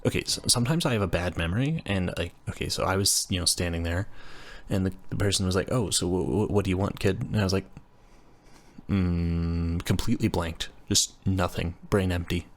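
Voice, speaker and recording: A man acts out a young, nerdy engineering student with a low-pitched voice. He rambles and stammers through casual roleplay chat, flustered and quick to poke fun at himself, as if talking to a roommate. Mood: sheepish rambling. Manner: stammering, rambling, self-deprecating. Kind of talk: intimate roleplay audio, casual scripted chat.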